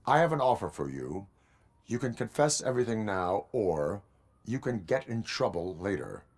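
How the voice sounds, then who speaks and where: A man playing a stoic cyborg policeman in a video game, speaking in a formal, clipped, deadpan way. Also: fairly low pitch, normal speed. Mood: stern. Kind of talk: video game cutscene acting.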